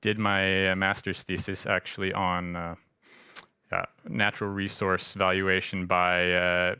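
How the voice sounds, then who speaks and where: Low-voiced, halting American man presenting. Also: bright, forceful tone, clean tone, no room sound, clean studio sound. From United States. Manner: halting, with frequent hesitations. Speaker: freshwater conservation coordinator presenting. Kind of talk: conference presentation with slides. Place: indoor room at a visitor centre.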